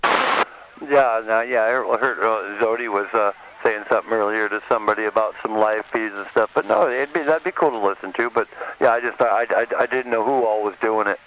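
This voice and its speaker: An American man in a casual CB radio chat, speaking haltingly with repeated words as he asks questions. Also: on the low side, fairly bright tone, highs cut off. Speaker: CB radio caller. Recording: noisy, crackly CB radio transmission. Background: clear radio noise and clicks.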